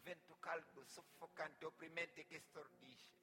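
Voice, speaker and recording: A man from Senegal, an actor and storyteller, performing a poetic theatrical monologue in a solemn, declamatory manner with dramatic pauses. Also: on the high side, fairly even intonation, sharp tone, soft background music.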